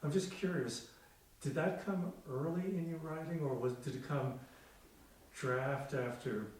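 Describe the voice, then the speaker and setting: A man in the audience putting a question in a halting, appreciative, casual way. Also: on the high side, darkish tone, slight background noise. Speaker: audience member asking a question. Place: indoor book event, audience seat away from mic. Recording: roomy, distant from the mic.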